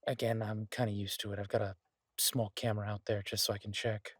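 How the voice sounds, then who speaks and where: A man playing an easygoing, affectionate chef in an intimate boyfriend roleplay audio, talking in a husky, halting, teasing way to a partner. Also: fairly low pitch, flat delivery, no room sound, studio quality, lightly acted. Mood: tender, teasing. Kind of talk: intimate boyfriend roleplay audio, casual one-sided chat.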